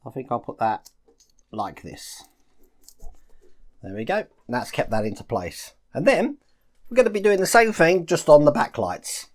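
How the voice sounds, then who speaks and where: A man's voice: on the high side, sing-song, unhurried, pauses often. Man giving a casual hands-on tutorial. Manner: chatty, explains each step as he works. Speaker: host of a model-building channel. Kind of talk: casual step-by-step build tutorial.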